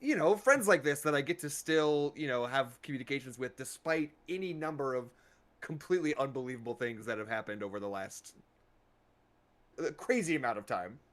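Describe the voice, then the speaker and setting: A man in a casual, reflective group chat, speaking sincerely and haltingly. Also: medium pitch, animated, fairly bright tone. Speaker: cast member of an audio drama.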